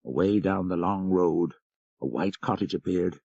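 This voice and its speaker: A man's voice: deep voice, measured pace, gravelly, dark tone, dry recording, lo-fi sound. A man reading a literary story as scripted audiobook narration.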